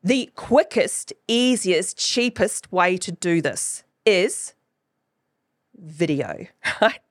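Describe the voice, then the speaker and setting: A woman's voice: fairly low pitch, animated, drawn-out words, no echo, studio quality. Chatty New Zealand woman podcast host. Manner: chatty, keeps asking "right?". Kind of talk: solo podcast teaching.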